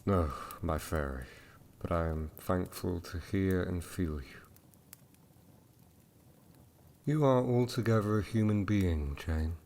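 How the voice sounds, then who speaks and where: A man playing a proud, brooding, jealous blind gentleman in a gothic romance audio drama, speaking in formal, intense, old-fashioned phrases. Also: deep voice, measured pace. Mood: tender, melancholy. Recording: long hall-like reverb.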